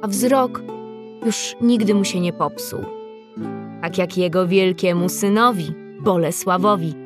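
A woman's voice: lowish voice, unhurried, music in the background. A woman narrating a scripted story for children, explaining things directly to young listeners.

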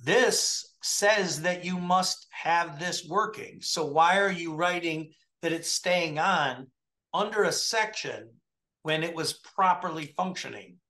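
Emphatic man coaching, bright voice. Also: fairly high pitch, slow. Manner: emphatic, keeps checking in with "right?". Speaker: trucking compliance coach. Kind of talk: coaching review over a video call. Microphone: webcam video call.

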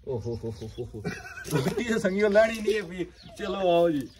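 A man's voice: high-pitched, very animated, normal speed, noisy background. A young man in his twenties chatting casually to a phone camera as a vlogger, outdoors in a village, greeting his viewers as friends. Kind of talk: casual vlog chat to camera. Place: outdoors in a village, fields and courtyard. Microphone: phone camera at arm's length.

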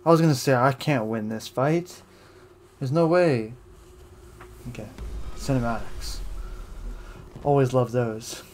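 A man's voice: medium pitch, unhurried, pauses often, darkish tone, a little hiss or hum. A young man casually commenting while playing a game, muttering and getting exasperated at enemies. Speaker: gamer commentating a playthrough.

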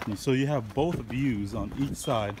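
A man casually narrating a family hike on a mountain ridge trail, chatting like a relaxed tour guide. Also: mid-range voice, measured pace. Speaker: vlogger filming a family hike. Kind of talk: casual hiking vlog narration. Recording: loud outdoor wind and ambience.

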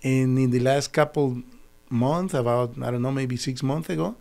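A middle-aged man from El Salvador with a Spanish accent, telling his story as an interview guest in halting, earnest English in a small studio on a desk mic. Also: mid-range voice, gravelly, dark tone. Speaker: guest, naturopathic doctor. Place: small studio with foam wall panels. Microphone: desk podcast mic.

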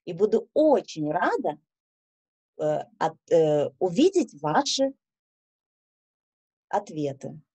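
A woman reads to children with a slow, careful delivery. Her voice is mellow and slightly husky, expressive and instructive throughout. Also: on the low side. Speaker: children's story reader. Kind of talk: storytelling to children. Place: small indoor room with bookshelves.

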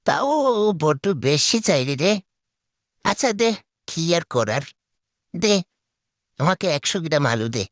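A man's voice: on the high side, sing-song, unhurried, pauses often, a bit bright. A man playing a stingy, hot-tempered village husband in a cartoon, indignant and argumentative. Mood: haggling. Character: hot-headed, stingy village husband who haggles and snaps at everyone. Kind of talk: comic cartoon acting in Bengali.

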